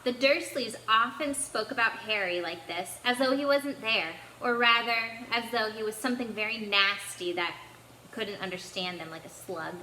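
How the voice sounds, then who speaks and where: A woman reading a children's story aloud in an expressive storytelling style. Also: fairly high pitch, moderate pace. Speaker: story-time reader. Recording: echoey room with faint background noise.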